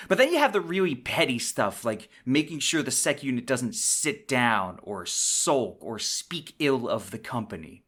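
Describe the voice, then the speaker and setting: Male podcast host, medium pitch. Conversational narration with dramatic emphasis and dry, informal asides. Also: a bit bright. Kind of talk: semi-scripted conversational narration, storytelling monologue.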